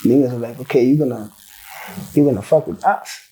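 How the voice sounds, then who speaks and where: Relaxed slangy rapper man, mellow voice. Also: mid-range voice, fairly quick, breathy edge, rough recording. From South Africa. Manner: loose, slangy, swears freely, laughs while telling stories. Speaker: rapper, interview guest. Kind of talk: casual interview chat.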